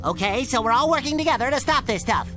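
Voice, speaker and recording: A man voicing an excitable, naive cartoon sea sponge in a video game, with an eager, childlike manner. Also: very high voice, fast. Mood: curious. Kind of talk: animated game cutscene acting. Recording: game music behind the voice.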